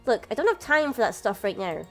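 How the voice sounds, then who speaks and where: Picture a sheepish, exasperated young man telling his own story as a game narrator, read by a woman. The voice stays fairly high with only a slight drop for the role. The delivery is measured and steady. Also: soft background music. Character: young adult man, a flustered older brother who narrates. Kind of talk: reading a visual novel aloud.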